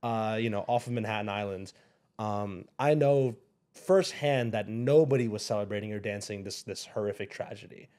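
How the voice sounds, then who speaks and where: A young man as a guest on an interview show, speaking thoughtfully, pausing often and restarting his sentences. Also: lowish voice, dark tone. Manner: thoughtful, hesitant, many fillers and restarts. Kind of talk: casual interview.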